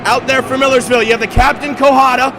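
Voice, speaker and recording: Brief: young male play-by-play caller, high pitch, slightly raspy, loud arena crowd behind. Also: flat delivery, quick pace, noisy background. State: slightly raspy from three games. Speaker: play-by-play commentator. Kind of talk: live hockey play-by-play.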